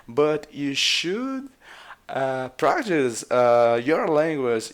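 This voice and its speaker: Man, Brazilian Portuguese accent, casual language-learning vlog, hesitant, lots of fillers, clear voice. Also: a little high. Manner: hesitant, many filler uhs, repeats himself. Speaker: language vlogger. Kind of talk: casual advice monologue.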